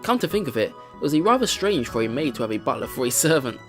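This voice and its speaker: Lively narrator reading a visual novel aloud. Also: medium pitch, fast talker. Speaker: let's play host. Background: game music.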